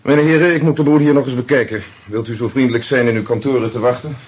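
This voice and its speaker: An actor playing a methodical, polite but dry police commissioner in a radio play, speaking with measured, formal authority. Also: male voice, mid-range voice, husky, natural voice. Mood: dry, businesslike. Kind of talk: Dutch radio drama acting.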